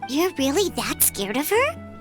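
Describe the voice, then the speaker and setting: A woman's voice: sing-song, a little slow, faint music behind. A woman voicing a tiny childlike fairy companion in an anime game, perky, blunt and cutesy. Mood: cheerful. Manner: squeaky, cutesy, refers to self in third person.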